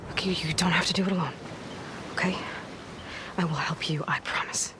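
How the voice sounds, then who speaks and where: A woman playing a determined, reassuring young warrior in a video game, speaking in an earnest, halting and hushed way. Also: on the low side, steady tone, background noise, close to an everyday voice. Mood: supportive. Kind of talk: video game cutscene acting.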